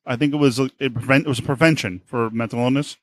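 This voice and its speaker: A man with an American accent hosting a casual podcast interview, halting and rephrasing as he asks questions. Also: mid-range voice, steady tone. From United States. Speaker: podcast host.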